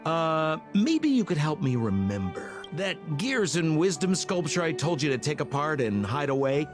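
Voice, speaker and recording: A man's voice: a little high, sing-song. A man playing a sly, theatrical scam artist in a video game, breezy and smarmy, with a glib, wheedling way of stretching words. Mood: suspicious, probing. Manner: glib, wheedling, drawn-out emphasis. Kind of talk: video game character dialogue. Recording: game music behind the voice.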